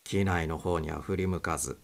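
A man's voice: sits low, steady tone, moderate pace. A man reading suspense fiction aloud as scripted audiobook narration.

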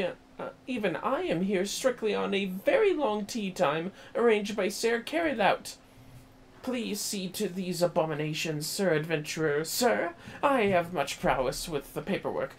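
Man putting on a high, melodramatic lady knight. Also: slight background noise. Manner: theatrical, overwrought, archaic phrasing. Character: melodramatic wailing female knight, prim paperwork-loving guard.

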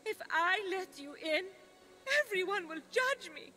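An actress playing a vain, guilt-ridden goddess of love in a video game, dramatic and self-pitying. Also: female voice, very high voice, expressive, unhurried, pushed and bright, soft background music, full character voice. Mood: anguished. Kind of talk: video game cutscene acting.

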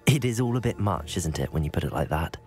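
Earnest English schoolboy wizard, low voice. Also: male voice, very animated, quick pace, a little mellow. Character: earnest, polite teenage wizard student, male. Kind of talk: video game dialogue. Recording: background music under dialogue.

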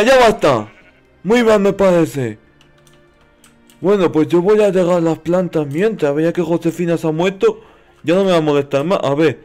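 A man's voice: a little high, a little mellow, close to an everyday voice. A man voicing a naive, dim-witted childlike noob in improvised comedic video game roleplay, with simple, repetitive phrasing. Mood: alarmed, indignant, then simple, content.

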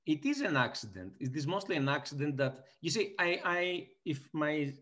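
A man's voice: on the high side, sing-song, normal speed, a bit bright. A man in his forties with a Greek accent, speaking as a guest on a video call interview, thoughtful and full of fillers and restarts. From Greece. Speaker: guest economist. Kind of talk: conversational interview. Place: small indoor room. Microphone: laptop webcam on video call.

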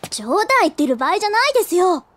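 A woman's voice: very high-pitched, big pitch swings, quick pace, a bit bright, heavily acted. An actress playing an earnest, easily flustered teenage schoolgirl in a Japanese anime audio drama. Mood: surprised, alarmed.

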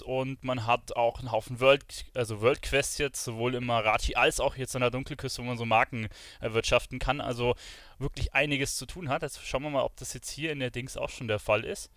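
Male game streamer, dry close sound, informal and chatty, thinking out loud as he plays. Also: medium pitch, steady tone, brisk, no echo. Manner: informal, colloquial, thinking aloud. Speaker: streamer playing an online role-playing game. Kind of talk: casual gameplay commentary.

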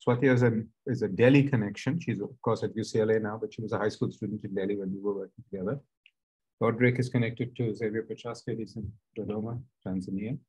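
A man's voice: on the low side, steady tone, fast talker, a little mellow, slightly rough, low-quality recording. A man introducing the participants on a video call, enthusiastic about how each one is connected. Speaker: program organizer. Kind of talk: casual introductions.

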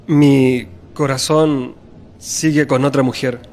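A man's voice: medium pitch, fairly even intonation, slow, a little mellow, slight background noise, natural voice. A man playing an earnest, tender, conflicted suitor in a radio soap, speaking in a heartfelt, solemn way. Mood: solemn, grateful.

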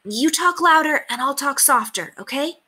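Woman as young storybook boy, bright voice, raised pitch, eager, polite, brave. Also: expressive, normal speed. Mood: apologetic.

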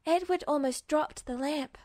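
A woman's voice: high voice, a little slow, a little mellow, dry recording, studio quality. A woman reading a scripted story aloud as an audiobook-style fan fiction narrator. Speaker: podfic narrator.

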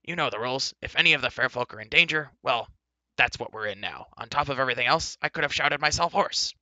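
A man voicing a gruff, short-tempered, bossy male dwarf for a storybook, in a forceful, snapping manner. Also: mid-range voice, fast talker, no room sound, pitched above the performer's own voice. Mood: furious, loud. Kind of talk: audiobook character voice.

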